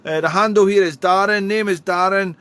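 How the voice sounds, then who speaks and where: A man's voice: sits high, fairly even intonation, fairly quick, fairly bright tone. A man working as an amateur radio operator, chatting in a friendly way and calling out phonetic call signs. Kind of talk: radio contact calls and casual chat. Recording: clear background radio noise.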